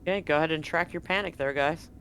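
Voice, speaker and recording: A man narrating a tabletop horror game as game master, in a relaxed, descriptive way. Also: a little high, fast talker, slight background noise.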